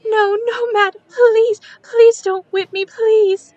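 A woman playing a timid eight-year-old servant girl in a radio drama, polite, halting and deferential. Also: extremely high pitch, steady tone, darkish tone, no room sound, muffled recording. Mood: frightened, pleading.

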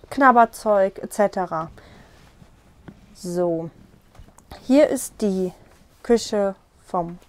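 Young woman, slightly high voice, casual tour-guide chat, lapel mic in a small caravan. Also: a little mellow. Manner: friendly, explaining as she walks and points. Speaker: vlogger giving a tour.

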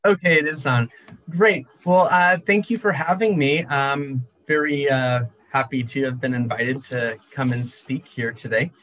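A man's voice: mid-range voice, unhurried, fairly bright tone, low-quality recording. A man in his thirties from California, speaking in an upbeat, friendly way on a video call. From California, USA. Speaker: English teacher and speaker. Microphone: video call, webcam.

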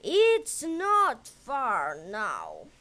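Woman reading as a slow, coaxing storybook uncle. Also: a little high, wildly swooping pitch, pushed and bright, heavily acted. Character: smooth-talking, coaxing adult uncle, male storybook villain.

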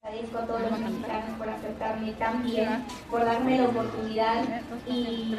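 A woman's voice: on the high side, monotone, moderate pace. A young woman with a slight Argentine accent, talking earnestly to a live crowd from an auditorium stage. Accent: Argentine Spanish, slight. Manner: earnest, encouraging, speaks straight to the crowd. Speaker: actress speaking at a fan event. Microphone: handheld mic, recorded from the audience on a phone. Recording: distant, echoey auditorium audio from a phone. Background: crowd ambience.

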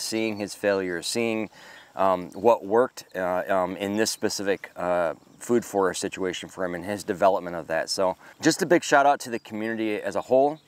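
A man's voice: on the low side, clean tone. A man in his forties hosting a homesteading channel, speaking earnestly and gratefully to the camera outdoors, thinking aloud with frequent ums and uhs. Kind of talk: casual devotional talk to camera. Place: outdoors in a park-like yard with trees. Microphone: camera close up at arm's length.

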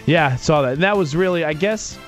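A man's voice: fairly high pitch, fast, faint music behind. A man in his thirties hosting sports talk radio, giving emphatic, opinionated takes in conversational banter. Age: 30s.

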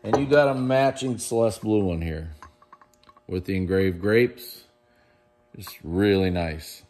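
A man's voice: fairly low pitch, slow, darkish tone. A middle-aged man presenting auction lots in a casual, enthusiastic way, recorded on a handheld camera in a large warehouse room. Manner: enthusiastic, chatty, praises pieces. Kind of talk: casual walkthrough of auction items.

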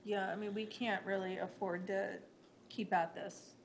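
A woman's voice: mid-range voice, flat delivery, measured pace. A woman board member making a suggestion or point at a public meeting. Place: public meeting room, indoors. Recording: distant mic, faint room noise.

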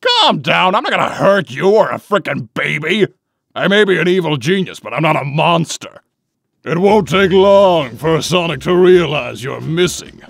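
A man's voice: fairly high pitch, big pitch swings, normal speed, gravelly, fairly bright tone, no echo, clean studio sound, full character voice. A man voicing a pompous, aging evil genius villain in a theatrical, grandiose comic dub style. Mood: smug, scheming.

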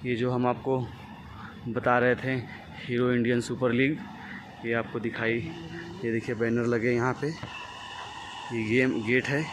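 A man's voice: medium pitch, flat delivery, takes it slowly, long pauses. A young man from India walking viewers through an outdoor athletics stadium, describing what he shows in a plain, direct way. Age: young adult. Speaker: vlogger, athlete. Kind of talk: walking tour narration. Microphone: phone camera, handheld. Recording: outdoor phone audio with background noise.

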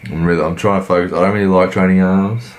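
A man, croaky from a cold, giving laid-back, rambling voice-over commentary on his workout. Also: sits low, steady tone, quick pace, a little hiss or hum. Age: 20s. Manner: laid-back, rambling, many fillers and restarts. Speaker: fitness vlogger.

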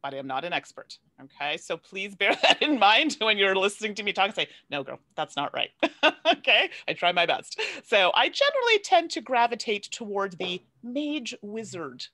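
A young woman hosting an online panel over a video call, chatty and quick to laugh. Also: medium pitch, sing-song, bright, forceful tone, no echo, studio quality. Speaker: panel host, content creator.

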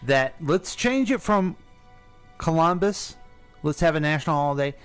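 An American man co-hosting a casual radio discussion, talking in a halting, wry way. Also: on the high side, expressive, smooth, soft background music. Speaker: radio show co-host.

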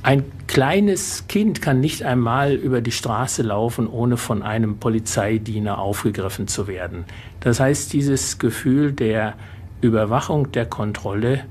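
A man explaining as an expert in a documentary interview, deliberate and emphatic, phrase by phrase. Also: mid-range voice, a little mellow. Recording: faint background noise.

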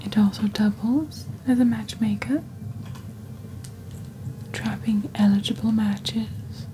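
A woman voicing a witch who presents magical wares in soft-spoken, breathy, deliberate ASMR style, close to the microphone. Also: on the high side, a little hiss or hum, rough recording. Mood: gently playful. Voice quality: breathy, whispery. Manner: slow, deliberate, hushed storytelling. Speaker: ASMR performer as shopping channel presenter. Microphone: close desk microphone.

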